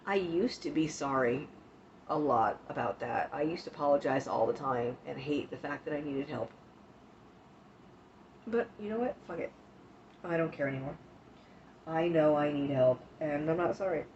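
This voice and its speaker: A woman's voice: low-pitched, moderate pace, pauses often, darkish tone, a little hiss or hum. A woman hosting a casual livestream, sniffly and coughing from the flu, talking frankly and with swearing straight to her chat. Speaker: livestream host. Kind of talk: casual livestream chat about self-care. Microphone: streaming mic. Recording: dull lo-fi stream audio.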